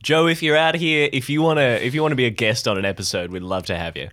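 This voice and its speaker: A man's voice: medium pitch, fast talker, fairly bright tone. A man chatting casually as a podcast co-host, in a jokey, teasing manner. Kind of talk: casual chat.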